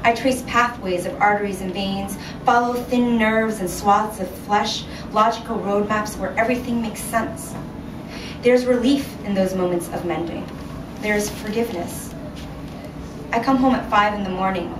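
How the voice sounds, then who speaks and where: A woman author reading her short story aloud at a live event, measured and expressive, recorded in a room from a distance. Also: medium pitch. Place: indoor venue at a reading series. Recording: roomy, noisy live recording from afar.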